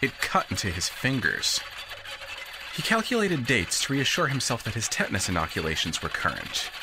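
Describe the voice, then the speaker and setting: A man's voice: mid-range voice, huge pitch swings, normal speed, noisy background. A man narrating a scripted audio drama in a dramatic, precise storytelling manner.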